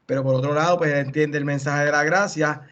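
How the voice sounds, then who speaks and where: Halting man in a Bible study, muffled. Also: a little high, fairly even intonation, a bit bright. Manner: halting, many restarts. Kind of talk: thoughtful group discussion. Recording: muffled video call audio.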